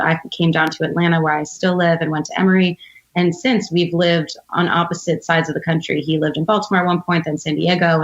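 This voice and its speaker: A woman's voice: sits low, dull audio. An American woman in her thirties telling personal stories in an articulate, reflective, conversational way as an interview guest. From United States, outside Philadelphia. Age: 30s. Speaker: podcast guest, entrepreneur.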